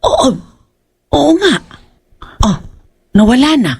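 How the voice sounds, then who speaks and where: An actress playing a spooked older neighbour woman in a horror audio drama, with drawn-out exclamations. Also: sits high, sing-song, gravelly, darkish tone, slightly rough, full character voice.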